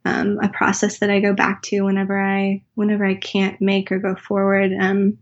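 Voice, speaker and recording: Woman, husky, gravelly, flat delivery, thoughtful interview guest, dull lo-fi sound. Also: medium pitch, moderate pace. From United States. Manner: thoughtful, searching, with restarts and filler. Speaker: writer and teacher, interview guest. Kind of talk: reflective conversational interview. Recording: dull lo-fi recording.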